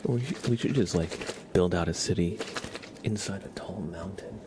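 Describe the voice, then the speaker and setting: A man hosting an ASMR livestream, speaking softly and casually to viewers in a gentle, rambling, hesitant way. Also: moderate pace, very noisy. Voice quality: soft, breathy whispering with creaky low undertone. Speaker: livestream host.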